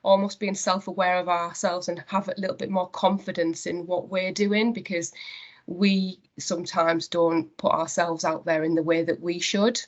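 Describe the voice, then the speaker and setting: Woman, Northeast English accent, lowish pitch, earnest, conversational interview answers, dull lo-fi audio. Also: flat delivery, normal speed. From Northeast England. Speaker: interviewee, company managing director.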